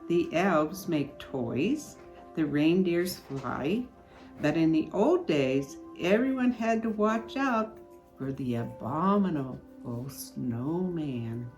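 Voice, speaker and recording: An older woman reading a children's storybook aloud in an expressive, unhurried way. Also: deep voice. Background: music.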